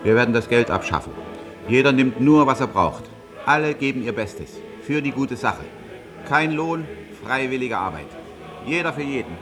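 An actor playing an embittered, suspicious old communist in a psychiatric clinic, in terse radio drama monologue. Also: male voice, mid-range voice, expressive, normal speed, music in the background, lightly acted. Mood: declamatory. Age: older. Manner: terse, grumbling, breathy half-whispers swelling to declamation. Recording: noisy ambience behind the voice.

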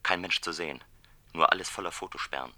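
Deep, piercingly bright clipped reporting man. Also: fast talker. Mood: terse. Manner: clipped, matter-of-fact. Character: daring undercover traveller phoning in terse secret reports. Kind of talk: terse report in a radio play.

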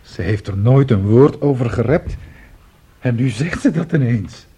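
Tired doubtful dad in a radio drama, really raspy, takes his time, middle-aged. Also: medium pitch, animated, measured pace, mellow, heavily acted. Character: weary, doubtful middle-aged father, a composer. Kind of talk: Dutch radio play acting.

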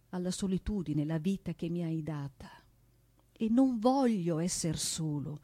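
A woman giving a solemn, theatrical recitation of poetry and prose in a deliberate, dramatic manner. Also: mid-range voice, dark tone, no room sound. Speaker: stage performer, actress and singer. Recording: piano music under the voice. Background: piano accompaniment.

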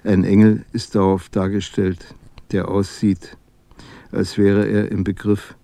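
A man's voice: on the low side, completely flat delivery, unhurried, dark tone, no echo. A man reading a prose text aloud.

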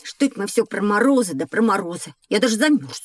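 A woman's voice: mid-range voice, quick pace, no room sound. A woman playing a curious, eager and slightly argumentative schoolboy in an educational audio play. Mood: stubborn. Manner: lively, boyish, inquisitive.

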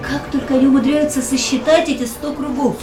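An actress playing a young woman in a radio play, speaking an inner monologue in a soft, often whispered voice. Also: high voice, fairly even intonation, a little fast, over loud music, natural voice. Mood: tense restless. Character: young woman, fragile, resolved, inward. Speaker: heroine voicing inner monologue.

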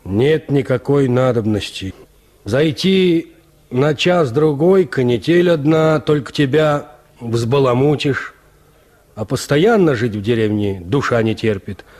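A man's voice: medium pitch, expressive, slow, darkish tone. An actor playing an indifferent, spoiled peasant huntsman in a radio drama, with nonchalant, condescending, folksy speech. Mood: dismissive, self-satisfied. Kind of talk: Russian radio drama acting.

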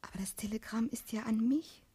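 A woman playing a young, flustered village postal assistant in a radio drama, halting and murmuring to herself. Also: a little high, dark tone, slight background noise, natural voice. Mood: puzzled, excited.

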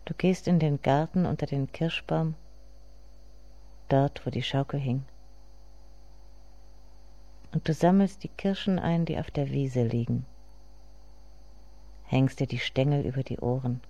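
A grieving grown daughter in a literary radio play. Her voice is dark and mellow, and she speaks to herself in a measured, intimate, brooding way, with bitterness underneath. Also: rumbling low. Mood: reflective.

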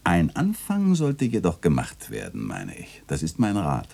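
Older learned gentleman, radio drama, dark gravelly voice, avuncular, pedantic, teasing. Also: deep voice, heavily acted. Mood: genial, wry. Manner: measured, pedantic, expressive. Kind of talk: German literary radio play acting.

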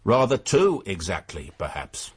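An actor playing a shrewd, methodical British police inspector in a radio drama, speaking in a measured, formal way with a husky voice. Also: male voice, deep voice, wildly swooping pitch, slight background noise, exaggerated voice. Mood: accusing.

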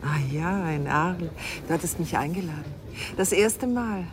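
An actress in a radio play playing an elegant, affectionate, teasing middle-aged bride. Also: female voice, fairly low pitch, normal speed, crowd noise, close to an everyday voice. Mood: nostalgic, playful. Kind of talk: 1990s German radio play acting.

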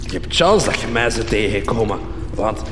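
A man's voice: lowish voice, animated, moderate pace, close to an everyday voice. Man playing a shifty Flemish miner, cavernous echo. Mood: explanatory. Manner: hesitant, stammering. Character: shifty, evasive old-time mine worker guiding strangers. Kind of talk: radio comedy drama acting. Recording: echoey hall, faint noise.

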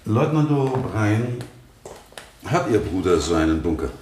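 An actor playing a shrewd defence attorney in a radio courtroom drama, questioning formally and deliberately. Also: male voice, lowish voice, sing-song, a little mellow. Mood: pointed, formal. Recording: roomy, faint noise, vintage radio production.

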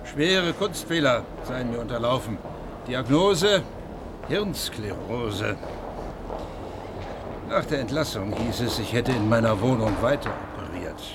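An actor in a radio play voicing a dead, disgraced old surgeon, self-assured and biting, in clipped declamatory phrases. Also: male voice, mid-range voice, animated, unhurried, long pauses, noisy background. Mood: dry indignation.

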